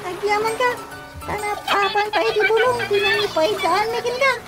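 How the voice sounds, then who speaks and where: Tiny mischievous creature: piercingly high, squeaky, teasing, loud music bed, comedic radio drama. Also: female voice, normal speed. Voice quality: squeaky, childlike.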